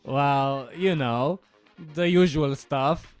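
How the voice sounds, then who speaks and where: An actor playing a lonely, stubborn boyfriend in an audio drama, awkward and easily indignant. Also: male voice, mid-range voice, clear, resonant tone, soft background music, lightly acted. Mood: nervous, hesitant. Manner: hesitant and stammering, turning indignant.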